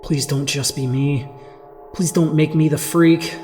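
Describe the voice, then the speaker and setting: A woman playing a rattled, excitable teenage boy with superpowers in an audio drama, speaking emotionally in casual slang. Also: sits low, unhurried, faint music behind. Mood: anxious, pleading.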